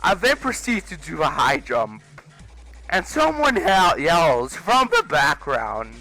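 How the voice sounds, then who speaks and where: A man's voice: on the high side, very animated, takes it slowly, sharp tone, low-quality recording. A young man, telling a personal story casually with exaggerated comic emphasis, over music. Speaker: video creator.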